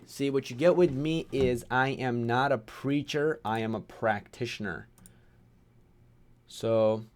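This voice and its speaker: Smooth-voiced man chatting on a livestream. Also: mid-range voice, slow pace. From Pakistan. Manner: chatty, reads viewer names and questions aloud. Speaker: online course instructor. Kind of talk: casual livestream Q&A.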